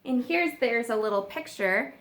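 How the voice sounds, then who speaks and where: A woman teacher reading a nonfiction book aloud to children, stressing key words and pausing for simple questions and explanations. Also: a little high, expressive, fairly bright tone.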